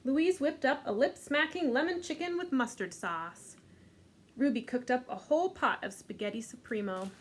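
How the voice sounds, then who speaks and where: A woman librarian leading a children's storytime, reading aloud gently and unhurriedly with a few fillers. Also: high voice, animated, normal speed.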